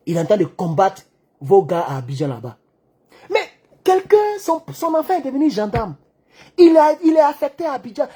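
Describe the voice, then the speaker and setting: A man giving an animated political monologue, acting out the dialogue in his stories. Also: sits high, breathy edge. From Côte d'Ivoire. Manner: excitable storyteller who acts out dialogue. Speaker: online political commentator.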